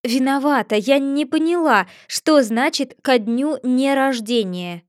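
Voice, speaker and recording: A woman's voice: high-pitched, measured pace, dry recording, studio quality. A woman voicing a polite, earnest seven-year-old girl who speaks carefully and apologizes.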